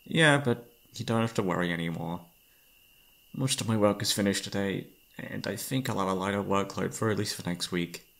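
A man's voice: on the low side, moderate pace, gravelly. A man playing an exhausted, affectionate young boyfriend in an intimate ASMR roleplay, speaking hesitantly with sighs. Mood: weary, reluctant. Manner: tired, sighing, hesitant, soft-hearted.

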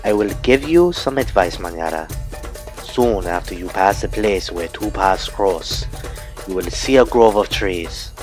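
A wise old woman who speaks in a low, measured voice, handing out warnings step by step, as a male storyteller puts her on. She stays calm and grave. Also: soft background music. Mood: solemn. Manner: measured, advising.

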